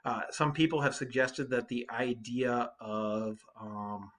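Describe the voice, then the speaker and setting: Man, bright forceful voice, medium pitch, teacher reading a book out loud and explaining stuff casually. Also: fairly even intonation, slow. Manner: informal, conversational explanations with asides. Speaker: English teacher. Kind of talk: reading aloud with explanatory lesson commentary.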